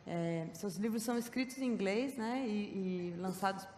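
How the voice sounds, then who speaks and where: A woman's voice: medium pitch, moderate pace, a little mellow. A Brazilian woman with a mid-range voice moderates a festival talk, hesitant and restarting as she frames her question. Her voice carries long reverb from a big hall, with faint noise beneath. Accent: Brazilian Portuguese. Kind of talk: onstage interview questions.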